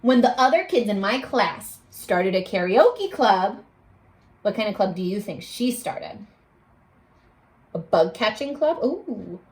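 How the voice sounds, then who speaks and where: A woman reading aloud to young children like a teacher, expressive and exclamatory, pausing to coax them with questions. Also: mid-range voice, rough recording. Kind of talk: animated children's read-aloud with questions to listeners.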